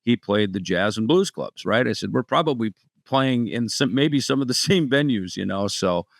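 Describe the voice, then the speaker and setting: Gravelly, chatty male podcast host. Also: mid-range voice, lively intonation, moderate pace, no echo, studio quality. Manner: friendly, chatty, storytelling. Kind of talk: casual interview chat.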